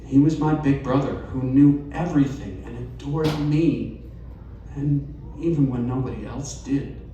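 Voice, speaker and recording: A man reading a personal essay aloud at a live storytelling night, measured and wry. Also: medium pitch, dark tone, distant mic, muffled recording, a little hiss or hum. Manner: slow, measured delivery with wry asides. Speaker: reader at a live storytelling event. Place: bar or event venue, live audience. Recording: very echoey room, voice off-mic, faint ambience.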